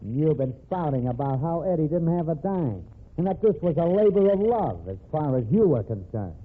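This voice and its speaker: Sardonic American newshound, higher-pitched, very animated, slangy, vintage radio crime drama with tinny sound. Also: male voice, normal speed, husky. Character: brash, wisecracking male newspaper reporter. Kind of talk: old-time American radio drama acting. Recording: thin, band-limited, noisy old radio recording.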